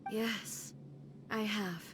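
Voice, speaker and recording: A woman playing a stern, grieving goddess ruler in an anime game, speaking in a grave, measured, formal way. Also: medium pitch, fairly even intonation, slight background noise. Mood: resigned. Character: solemn stern electro archon goddess, resolute and grieving. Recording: loud music over the voice.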